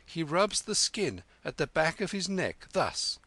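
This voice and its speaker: A man's voice: a little high, very animated, unhurried, pushed and bright, dry recording, pitched above the performer's own voice, full character voice. A man reading aloud, voicing a clever thirteen-year-old street boy in a raised sing-song manner. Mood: mimicking.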